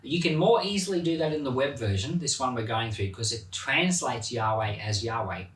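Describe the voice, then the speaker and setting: Male pastor, casual and animated Bible teacher, bright voice, recorded at a distance in a roomy space. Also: mid-range voice, normal speed. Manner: animated, chatty, laughs at his own points. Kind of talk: Bible reading and informal teaching. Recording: roomy echo, mic at a distance.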